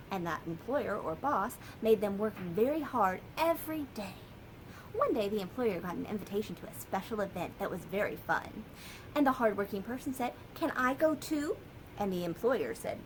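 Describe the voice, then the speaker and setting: An American woman, a children's librarian, telling a story and sharing books for kids in a lively, sing-song way. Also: mid-range voice, background noise.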